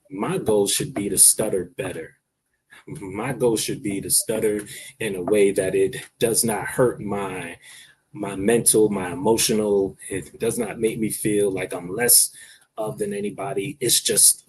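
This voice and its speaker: Earnest man who stutters, lower voice. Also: darkish tone, a little hiss or hum, low-quality recording. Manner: stutters and restarts, earnest, reflective. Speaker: podcast guest. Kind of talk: conversational interview.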